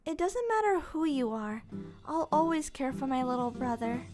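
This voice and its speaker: A woman playing a caring, supportive older sister with a gentle, reassuring manner in an audio drama reading. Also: sits high, big pitch swings, normal speed, soft background music. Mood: tender.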